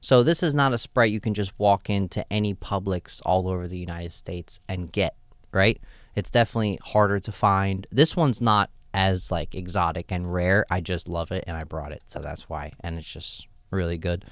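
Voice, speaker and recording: A man's voice: sits low, normal speed, no room sound, clean studio sound. A man who owns a shop, answering interview questions in a casual, loose way with lots of "right?" and "you know". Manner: relaxed, loose, many fillers and "right?" tags. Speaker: store owner, guest.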